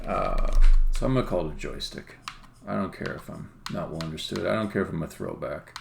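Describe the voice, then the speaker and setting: A man giving casual live-stream commentary as a game developer, explaining things in a rambling, halting way. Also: deep voice, creaky, noisy background.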